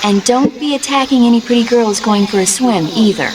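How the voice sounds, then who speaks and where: A woman's voice: a little high, a little fast, background noise, close to an everyday voice. An actress playing a caring, teasing young woman paramedic who gives earnest advice over radio support in a video game. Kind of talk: video game voice acting, scripted radio conversation.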